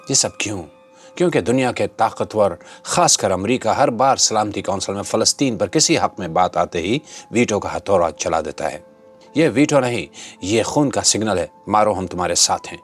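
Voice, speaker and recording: A man with a fairly low voice presents scripted commentary as a channel host. His delivery is rhetorical and dramatic with a sardonic edge. Music plays faintly behind him. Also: expressive, moderate pace. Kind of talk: scripted opinionated political commentary. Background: background music.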